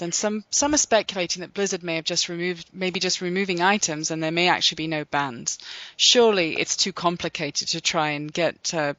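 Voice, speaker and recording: A podcast host reading a scripted recap in a steady, measured way, then introducing guests. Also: medium pitch, no room sound, dull audio.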